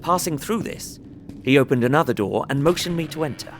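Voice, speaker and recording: A man playing an earnest young solicitor, narrating his travel diary in a measured, descriptive way for an audio drama. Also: fairly high pitch, lively intonation, slight background noise. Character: young English solicitor recounting his journey, earnest, observant. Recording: prominent music under voice. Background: music.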